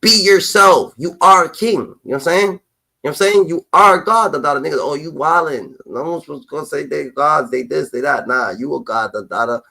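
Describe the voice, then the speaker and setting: A man in his twenties holds forth on a casual live stream. He talks emphatically and preachily and keeps circling back to his catchphrases. His voice sits high and sounds bright and forceful. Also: brisk, gravelly, rough recording. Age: 20s. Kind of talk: casual livestream chat.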